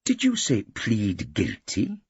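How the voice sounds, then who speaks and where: A man's voice: lowish voice, wildly swooping pitch, takes it slowly, darkish tone, slightly rough, no echo, muffled recording, voice pushed up, exaggerated voice. A man voicing an earnest, zealous Welsh solicitor in an audiobook, preachy and optimistic. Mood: hurt, indignant. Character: earnest, eager, indignant Welsh male solicitor.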